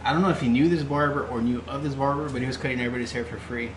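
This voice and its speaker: A man hosts a loose, slangy podcast chat and laughs easily between lines. His voice bounces around a roomy space. A steady hum of noise sits underneath him. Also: medium pitch, very fast. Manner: laid-back slangy banter, laughs often. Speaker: podcast host. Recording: roomy sound with clear background noise.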